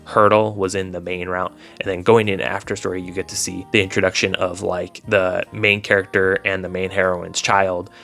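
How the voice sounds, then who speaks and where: A man's voice: sits low, flat delivery, normal speed, creaky, few pauses, sharp tone. A man giving casual, informal commentary as a video host, talking in a rambling, hedging way. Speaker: video host reviewing visual novels. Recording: voice over background music. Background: music.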